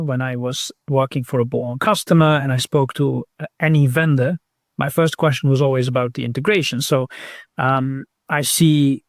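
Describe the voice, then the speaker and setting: A man giving conversational interview answers as a podcast guest, in a measured, hesitant way as he thinks aloud. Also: mid-range voice, darkish tone, no echo, studio quality. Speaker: podcast guest, recruitment technology professional.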